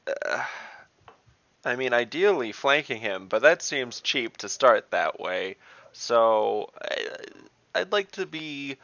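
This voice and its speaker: A man's voice: mid-range voice, sing-song, slow pace, pushed and bright, dry recording, dull audio. A young man playing a tabletop game over a video call, chatting casually and hesitantly. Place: indoor room at home, on a video call.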